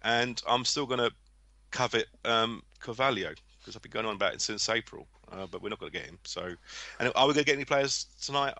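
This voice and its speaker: A man's voice: mid-range voice, fairly quick, vocal fry, pushed and bright, no echo. A man chatting casually about football as a podcast guest.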